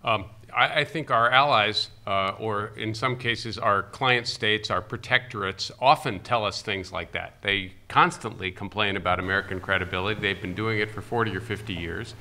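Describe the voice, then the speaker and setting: A man's voice: on the low side, moderate pace, hardly any pauses, bright, forceful tone. A man on a discussion panel, answering a question bluntly and argumentatively.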